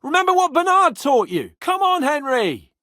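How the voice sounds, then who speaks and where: An actor playing a brash, petulant young medieval nobleman in a video game, with a husky voice and a pompous, sardonic manner. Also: extremely high pitch, very animated, normal speed, pushed and bright, no echo, clean studio sound, heavily acted. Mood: urgent commanding. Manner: pompous, sardonic, swearing freely.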